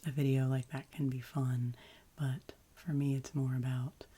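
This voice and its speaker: A woman with a deep, husky voice chats gently as an ASMR host, soft-spoken and often whispering. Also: flat delivery. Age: adult, past college. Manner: slow, gentle, soothing delivery.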